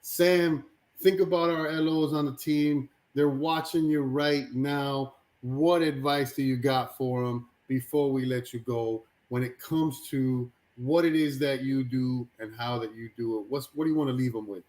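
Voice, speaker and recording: A man hosting a live stream with energetic, hype-style shout-outs, bringing guests in and out. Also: medium pitch, measured pace. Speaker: host.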